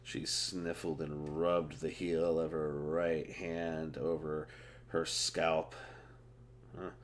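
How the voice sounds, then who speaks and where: A man's voice: very deep voice, a little hiss or hum. A man who is mildly drunk, reading a book aloud informally and stumbling over words, with casual commentary.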